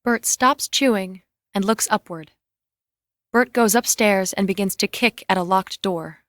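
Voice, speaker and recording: A woman's voice: mid-range voice, a little slow, a bit bright, no room sound. Woman reading screenplay directions, very dry recording. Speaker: narrator reading stage directions. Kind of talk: dramatic reading of a screenplay, scripted narration.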